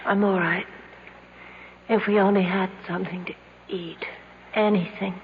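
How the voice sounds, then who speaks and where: A woman's voice: medium pitch, steady tone, a little slow, lightly acted. A plaintive young woman in love played by an actress speaks tenderly, trapped, cold and starving. Her voice comes through thin, phone-like vintage radio sound with faint noise. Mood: weak, worried. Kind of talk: old-time radio drama acting. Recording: tinny, band-limited vintage radio with hiss.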